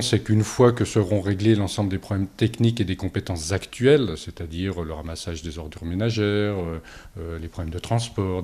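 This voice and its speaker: Deep-voiced Frenchman making a formal political statement. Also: a little mellow. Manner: hesitant, halting delivery with frequent long filler pauses; formal, measured. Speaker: local opposition politician. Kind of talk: political statement to camera, semi-prepared.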